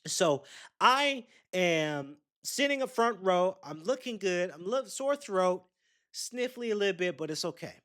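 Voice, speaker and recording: A man's voice: high-pitched, lively intonation, measured pace, fairly bright tone. A young man, hosting a podcast and telling a rambling, chatty story straight to his listeners. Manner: rambling, chatty, addresses listeners directly with asides. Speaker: podcast host. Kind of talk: casual solo storytelling.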